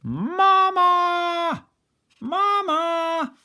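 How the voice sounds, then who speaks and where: Man putting on squealing high-pitched baby goslings. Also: expressive, extremely slow, pushed and bright, full character voice. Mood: clingy, excited. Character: needy baby goslings calling for their mother.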